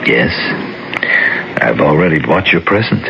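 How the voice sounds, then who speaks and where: Muffled, noisy old radio broadcast of an anxious, devoted old husband. His voice is very deep and moves expressively as he speaks haltingly and earnestly. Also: expressive, gravelly, heavily acted. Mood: tender, worried. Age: older. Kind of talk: 1970s radio drama acting.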